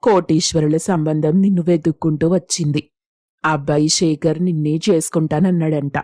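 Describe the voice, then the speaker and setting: A woman's voice: sits low, fairly even intonation, normal speed, dark tone, dry recording, clean studio sound. A woman playing a proud, coaxing middle-aged village mother in a moral story, speaking emphatically. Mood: proud, delighted. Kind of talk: Telugu moral story dialogue.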